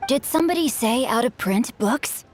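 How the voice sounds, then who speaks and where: Refined young scholar boy voiced by a woman, high pitch, articulate, courteous, video game dialogue. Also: expressive, moderate pace, a little hiss or hum, close to an everyday voice. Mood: courteous, eager. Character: polite, bookish young gentleman, eloquent and refined.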